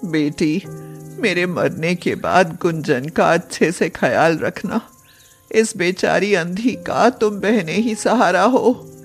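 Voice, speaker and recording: A woman's voice: fairly low pitch, slow, faint music behind. A woman voicing a poor, worried, ailing mother in a tender, sorrowful manner for a children's story. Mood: frail, sorrowful.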